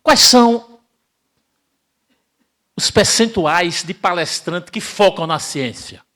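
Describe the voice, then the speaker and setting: An older man from Brazil giving an emphatic spiritist lecture, asking rhetorical questions and swinging between loud and hushed delivery. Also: high voice, slow pace. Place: spiritist center hall, live audience.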